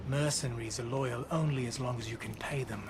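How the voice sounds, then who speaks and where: An actor playing a shrewd, hardened mercenary leader in a video game, dry and measured. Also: male voice, medium pitch, steady tone, noisy background, lightly acted. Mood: businesslike. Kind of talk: video game cutscene acting.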